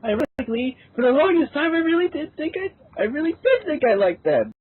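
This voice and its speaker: A woman playing a flippant, proud young team member in an amateur story reading, with a creaky, husky voice. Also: high-pitched, very animated, brisk, no echo. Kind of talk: amateur fan fiction dramatic reading. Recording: dry, muffled phone-like sound.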